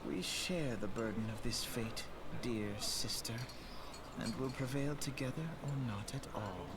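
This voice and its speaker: A man playing a self-assured young noble brother in a fantasy game, speaking in lofty, measured, formal phrases. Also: medium pitch, very noisy, natural voice. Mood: smug, assured. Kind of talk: scripted fantasy game cutscene acting.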